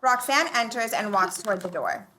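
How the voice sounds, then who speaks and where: A woman's voice: fairly high pitch, lively intonation, normal speed, pushed and bright, slight background noise, poor audio. A woman narrating scripted stage directions for a live sitcom table read.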